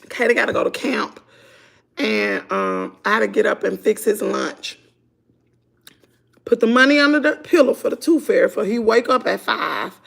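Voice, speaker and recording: A middle-aged woman with a Southern US accent, chatting hoarsely to her viewers at home on a phone livestream. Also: mid-range voice, a bit bright. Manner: chatty, laughing, drawling, talks to "y'all". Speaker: vlogger on a morning livestream. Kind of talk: casual chat with viewers. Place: at home indoors.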